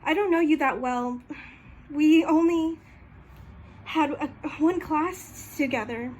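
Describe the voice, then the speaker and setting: A woman's voice: high voice, a little mellow, slight background noise. A young woman playing a shy, wistful, grieving teenage classmate in an amateur drama, speaking hesitantly. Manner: hesitant, halting delivery. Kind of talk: amateur stage drama monologue.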